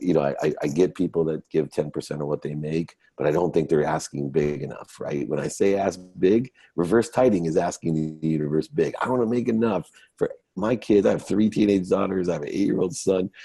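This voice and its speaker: A man's voice: very deep voice, dark tone, breathy edge, dry recording. A man of fifty giving earnest, emphatic motivational answers as an interview guest. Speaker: guest, motivational entrepreneur and speaker.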